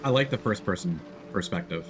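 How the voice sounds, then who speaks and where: A man's voice: deep voice, expressive, brisk, over music. A man livestreaming casual gameplay commentary, thinking aloud in a playful way. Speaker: live streamer.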